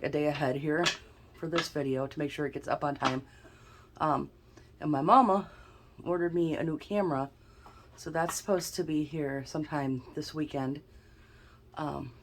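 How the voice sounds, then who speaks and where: A woman with a notably deep, low voice, a bit snuffly and stuffed-up, chatting through a tarot card reading as she talks her thoughts aloud. Her tone stays relaxed and conversational. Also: slight background noise. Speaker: tarot reader, channel host.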